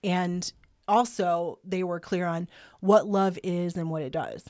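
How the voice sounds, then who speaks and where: A woman's voice: lowish voice, fairly even intonation, unhurried, a little mellow, no room sound, clean studio sound. Chatty older woman podcast host. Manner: chatty, laughs and jokes, talks through a cough drop. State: has been sick, clears throat.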